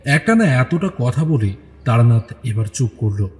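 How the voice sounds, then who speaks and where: A man narrates an audio story in a scripted, dramatic style. His medium-pitched voice moves expressively and rings with long reverb. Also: sing-song, unhurried, a little mellow.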